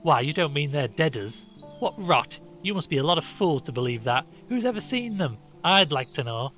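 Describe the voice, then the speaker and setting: Cheeky, scornful schoolboy scout done by a male narrator, raised high and loud, sneering. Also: very animated, a little fast, faint music behind. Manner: insolent, sneering.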